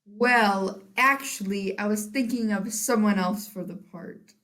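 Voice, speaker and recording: Placating, flustered film director, played by a teen girl; medium pitch, theatrical school-play comedy delivery. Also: a little slow, a bit bright, lightly acted. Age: teenager. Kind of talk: school one-act comedy stage acting.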